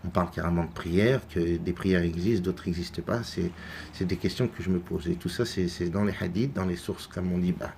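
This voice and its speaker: A man from France giving unscripted religious commentary, halting and full of repeated words and fillers. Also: low voice, steady tone, gravelly, a little mellow, a little hiss or hum. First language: French. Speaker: religious video maker.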